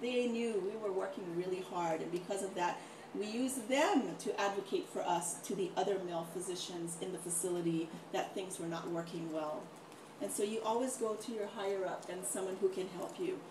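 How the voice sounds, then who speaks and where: A middle-aged woman speaking informally as a doctor on a panel, telling anecdotes in an animated way and acting out what people said. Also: medium pitch, moderate pace. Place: large echoey room, event with audience. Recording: echoey, distant mic, noisy room.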